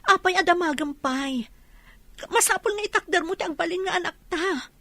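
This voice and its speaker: A woman's voice: very high-pitched, moderate pace, pushed and bright, no room sound. A woman playing a hurt, proud young pregnant woman in an emotional Ilocano radio drama, with an Ilocano accent. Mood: anxious, insistent.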